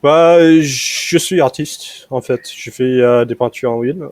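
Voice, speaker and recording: A man's voice: mid-range voice, a little slow, darkish tone, no room sound. A young American man talks on a video call in learner French with a strong American accent. He hesitates often, filling gaps and restarting his sentences. His voice is dry and close, in a mid range. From United States. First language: English. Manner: hesitant, many fillers and restarts. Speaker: language learner. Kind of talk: casual conversation practice.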